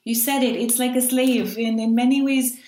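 A woman's voice: a little high, fairly even intonation, moderate pace, a little mellow, echoey room. A woman from Pakistan interviewing a guest, asking questions and affirming her answers.

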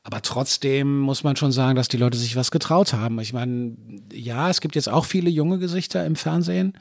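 A middle-aged man speaks as a podcast guest in a living room, at an ordinary mid pitch. He talks reflectively, circling his thoughts with frequent restarts, and the recording is close and dry. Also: brisk, no room sound. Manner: reflective, thinks aloud with many restarts. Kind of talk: casual conversation.

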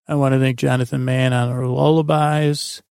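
A man with a gravelly, creaky voice hosting a bedtime podcast in a meandering, halting monologue that trails off mid-thought. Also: medium pitch, level tone, darkish tone, no echo, studio quality. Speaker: podcast host.

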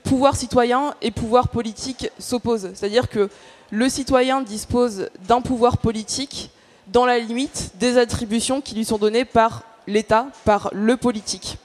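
A woman's voice: on the high side, fairly even intonation, normal speed, a little mellow. Hesitant woman giving an explanatory talk. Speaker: activist panel speaker. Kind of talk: semi-prepared public talk, explanatory.

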